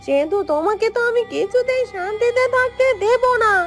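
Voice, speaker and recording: Woman voicing a very high-pitched stern, aggrieved young woman. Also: lively intonation, brisk, faint music behind. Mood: accusing, vengeful. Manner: emphatic, confrontational. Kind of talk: Bengali cartoon story dialogue.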